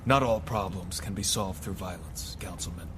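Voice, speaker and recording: A composed masked hero, voiced by a man speaks in a low, formal, unhurried way. His lines come across as measured and grave in an audio drama. A clear layer of noise sits under the voice. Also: a bit bright. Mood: grave calm.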